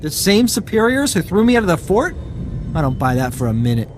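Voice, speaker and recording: An actor playing an aggrieved, shifty informant in a video game, whining and wheedling for payment. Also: male voice, high voice, big pitch swings, a little fast, noisy background. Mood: wheedling, resentful. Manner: whiny, indignant, wheedling.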